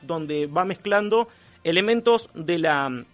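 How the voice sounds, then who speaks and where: An Argentine man giving a conversational radio column on culture, reflective and halting as he searches for words. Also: fairly high pitch, expressive, faint music behind.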